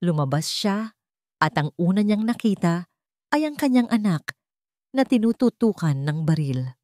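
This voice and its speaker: A woman's voice: mid-range voice, sing-song, normal speed, warm tone, no room sound, studio quality. A woman with a Filipino accent reading a dramatic story as a scripted narrator.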